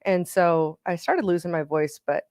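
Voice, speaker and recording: A chatty woman with a lowish voice co-hosts a live motorsport show. She rambles a little, greets viewers and reads out their comments. Her voice sounds dry and close. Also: moderate pace, darkish tone, dry recording. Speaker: co-host of a racing engine livestream. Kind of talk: casual chat.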